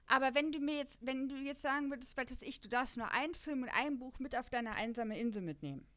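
A woman chatting casually as a podcast co-host, animated and rambling in manner. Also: high voice, fast. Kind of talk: casual chat.

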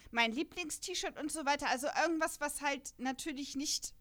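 A woman chatting casually as a podcast co-host, animated and rambling in manner. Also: high-pitched, normal speed. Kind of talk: casual chat.

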